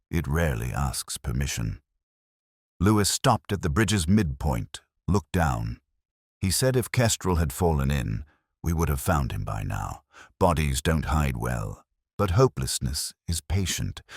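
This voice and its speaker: A man narrating a scripted first-person detective story in a brooding, measured, literary manner. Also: extremely low pitch, dry recording, clean studio sound.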